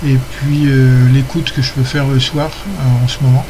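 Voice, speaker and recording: A man's voice: mid-range voice, flat delivery, normal speed. Man on a noisy radio recording. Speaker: amateur radio net controller. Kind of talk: casual ham radio exchange with spelled call signs.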